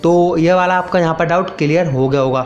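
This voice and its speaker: A man's voice: fairly high pitch, fairly quick, a little mellow, muffled recording. A young man giving a casual gaming update voice-over, repeating himself and addressing viewers as friends. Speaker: YouTube gaming channel host. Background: background music.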